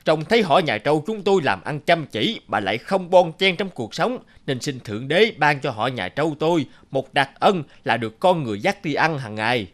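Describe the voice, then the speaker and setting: A man voicing a placid, hardworking water buffalo, calmly explaining himself in a cartoon folk tale. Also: a little high, moderate pace, few pauses. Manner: calm, explanatory.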